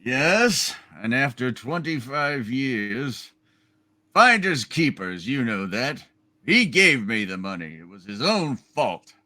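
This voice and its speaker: A scheming, imperious tycoon villain in a radio play, hammed up by a man. He speaks slowly and haughtily, with big swings in pitch and a hard, bright edge. He gloats and threatens. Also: big pitch swings, heavily acted. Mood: gloating.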